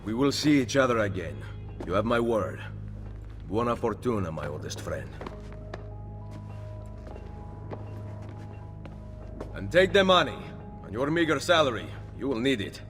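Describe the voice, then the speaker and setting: Game hero male actor: resolute master assassin, Italian accent, commanding, lively intonation over music. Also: medium pitch, lightly acted. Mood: fond. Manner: measured, determined, commanding. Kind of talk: video game cutscene acting.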